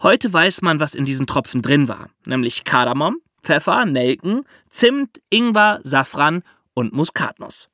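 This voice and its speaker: A man hosting a podcast, explaining step by step in lively storytelling for children with theatrical emphasis. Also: on the high side, unhurried, hardly any pauses, dry recording, clean studio sound.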